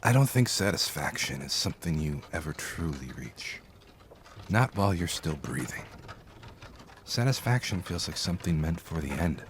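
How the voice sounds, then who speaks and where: Man acting as a very deep-voiced, humble cart driver. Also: big pitch swings, normal speed, slight background noise, exaggerated voice. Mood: reflective, earnest. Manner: formal, deferential, reflective. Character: weathered male cart driver, humble, courteous, philosophical. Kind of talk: fantasy audio drama acting.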